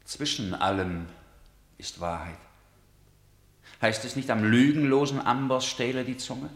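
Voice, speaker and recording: An actor playing an upright, defiant young prince in a classical verse radio drama, declaiming earnestly and in measured phrases. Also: male voice, medium pitch, echoey room, natural voice. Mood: earnest, reproachful. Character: upright, defiant young prince pleading with his tyrant father.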